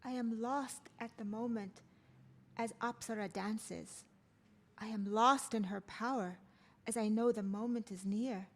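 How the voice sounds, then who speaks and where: Woman reading as a solemn lovelorn queen, higher voice. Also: fairly even intonation, unhurried. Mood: yearning, solemn. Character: lovelorn, regal ancient Khmer queen. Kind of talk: play table read with poetic monologues.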